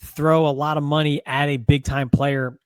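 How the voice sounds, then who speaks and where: A man's voice: on the high side, level tone, normal speed, clear, resonant tone, dry recording, studio quality. A man co-hosting a football podcast in casual chat, wry and teasing, reasoning through his points. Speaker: podcast co-host, football analyst.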